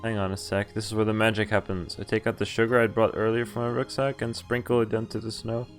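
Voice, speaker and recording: Low-voiced man reading a visual novel aloud. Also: flat delivery, fast talker. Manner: stumbles over words while reading, breaks off to comment. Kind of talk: casual game playthrough, reading story text aloud with offhand comments. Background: game music and sound effects.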